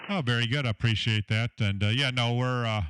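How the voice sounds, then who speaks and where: A deep-voiced man with a Southern American accent, chatting casually about his radio audio setup from his home shack, with a lot of fillers and technical talk. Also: brisk, a bit bright, clean tone, no echo, rough recording. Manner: rambling, filler-heavy, technical. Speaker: ham radio operator, station owner.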